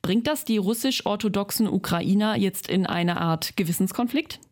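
A woman hosting a radio interview, asking questions in a clear, composed manner. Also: mid-range voice, normal speed, a little mellow.

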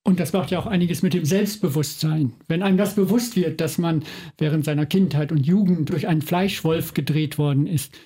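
Hesitant radio interviewer, dark warm voice. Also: male voice, on the high side, husky. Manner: measured questions with frequent "äh" hesitations. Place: radio studio.

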